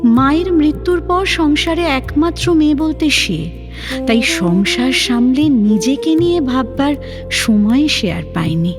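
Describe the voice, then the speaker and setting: A woman reading scripted dramatic story narration in a measured way, with pauses. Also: high voice, sing-song, over music. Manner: measured, pausing.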